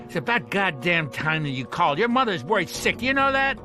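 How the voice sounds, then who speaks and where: An actor playing a cantankerous older American war veteran in a video game cutscene, gruff and scornful as he berates. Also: sits high, very animated, brisk. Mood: scolding, scornful. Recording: music behind the voice.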